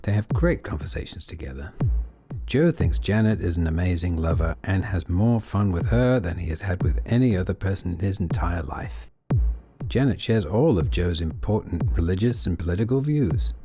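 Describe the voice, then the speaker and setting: A man reading scripted narration for an audio play in a measured, deliberate way. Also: deep voice. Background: music.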